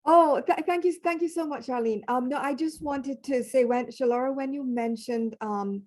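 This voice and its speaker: A woman's voice: sits high, normal speed. Emphatic woman with a West Indian accent. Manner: animated, emphatic, laughs while talking. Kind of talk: panel discussion.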